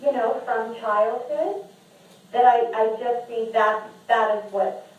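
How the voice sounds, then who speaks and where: A woman's voice: a little high, slow pace, highs cut off. A woman speaking as a podcast guest and memoir author, talking candidly and earnestly, often restarting and repeating words. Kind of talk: candid conversational interview. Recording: echoey room, distant mic.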